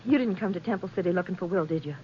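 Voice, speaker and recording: An actress playing an anxious, devoted young rancher's wife in a 1950s radio western, earnest and faltering with emotion. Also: female voice, fairly low pitch, racing, warm tone, close to an everyday voice. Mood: worried. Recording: dull, noisy 1950s radio recording.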